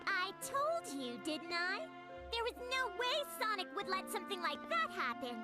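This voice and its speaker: A cheeky little game character with an extremely high, lively, childlike voice. It sounds perky and sure of itself over loud music. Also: female voice, moderate pace. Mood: triumphant, reassuring. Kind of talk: video game cutscene acting.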